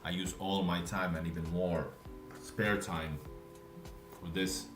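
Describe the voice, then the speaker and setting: A man with a Russian accent, live streaming and chatting casually with viewers, speaking in a deliberately lazy, relaxed way. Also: sits low, roomy sound, over music. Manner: intentionally lazy, relaxed way of talking.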